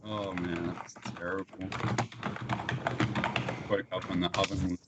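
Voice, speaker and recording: A man's voice: deep voice, little pitch movement, unhurried. A man in his forties chatting casually with friends. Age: 40s. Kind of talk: casual chat. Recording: muffled lo-fi mic with faint noise.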